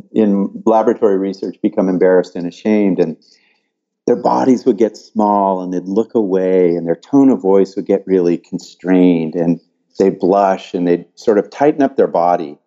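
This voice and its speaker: An older man from California, explaining research in an animated, thoughtful way and often restarting his sentences. His voice sits low and sounds mellow. The audio is dull and lo-fi. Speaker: psychology professor and author. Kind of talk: interview answers.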